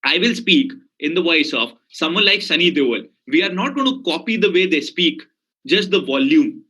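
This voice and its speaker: A man's voice: a little high, animated, normal speed, bright, forceful tone, husky, rough recording. A man with an Indian English accent giving a motivational lecture as a communication coach, emphatic and speaking straight to his listeners with frequent questions.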